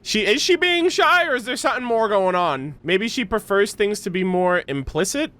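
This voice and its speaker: A man's voice: high voice, expressive, moderate pace, pushed and bright, smooth. A young man casually reacting to a video game as a streamer, joking and swearing loosely.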